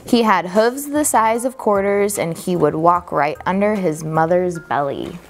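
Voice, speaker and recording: Woman reading a children's storybook, music behind. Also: fairly low pitch. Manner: expressive, deliberate, drawn-out emphasis on key words. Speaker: storyteller reading a children's picture book aloud. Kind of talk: children's storybook read-aloud.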